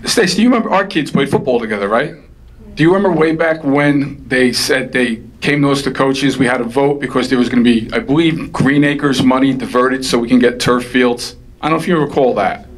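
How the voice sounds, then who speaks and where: A man speaking in a council meeting discussion, rapid and rambling, conversational. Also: medium pitch, low-quality recording. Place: township council meeting room.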